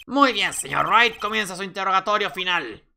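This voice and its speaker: A man's voice: high-pitched, animated, moderate pace, creaky, sharp tone, slight background noise, exaggerated voice. A man voicing a solemn old judge in a courtroom game, formal and declarative. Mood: stern.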